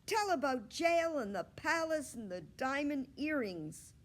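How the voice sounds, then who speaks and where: A woman's voice: high voice, very animated, unhurried, pitched above the performer's own voice. A woman voicing eager, impatient young schoolchildren in a storybook, calling out emphatically in class. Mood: eager, insistent.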